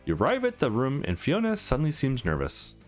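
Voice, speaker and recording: A man's voice: mid-range voice, huge pitch swings, a little fast, faint music behind. A man hosting a let's play, mixing casual commentary with read-aloud visual novel narration.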